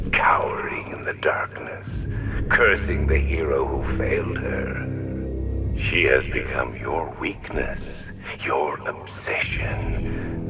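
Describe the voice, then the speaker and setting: An actor playing a menacing, sadistic masked supervillain in a video game, taunting in theatrical monologue with deliberate, ominous phrasing and echo on the voice. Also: male voice, a bass voice, phone-quality sound, heavily acted. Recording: thin band-limited audio, long hall reverb, loud music.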